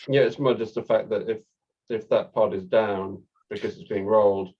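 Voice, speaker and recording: A man's voice: lowish voice, steady tone, moderate pace, slightly rough. A man talking casually through technical points with colleagues at work. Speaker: software engineer in a team meeting. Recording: dull, muffled call audio.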